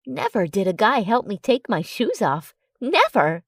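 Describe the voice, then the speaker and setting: A woman narrating a first-person romance story in an expressive, dramatic storytelling way. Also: mid-range voice, measured pace, no room sound. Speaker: audiobook narrator.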